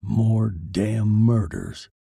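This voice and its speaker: A man's voice: lowish voice, very slow, gravelly, dark tone, husky, dry recording, studio quality, heavily acted. A man playing a cynical, exasperated police detective who talks tough and slangy, in the style of a 1940s crime drama. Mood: weary exasperation. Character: hard-boiled gruff police detective, big man, cynical.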